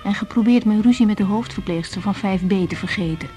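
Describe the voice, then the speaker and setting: An actress playing an earnest, weary young doctor in a radio drama, speaking in short reflective phrases. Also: female voice, mid-range voice, brisk, warm tone, faint music behind, lightly acted. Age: young adult. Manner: reflective, intimate, clipped phrases. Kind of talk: radio drama acting with diary-like monologue.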